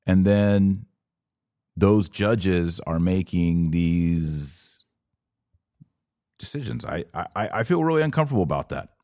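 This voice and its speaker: Very deep-voiced American male podcast host. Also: lively intonation, slow, pauses often, dark tone, no echo, studio quality. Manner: conversational, poses rhetorical questions, thinks aloud. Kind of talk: live commentary, reading and answering viewer comments.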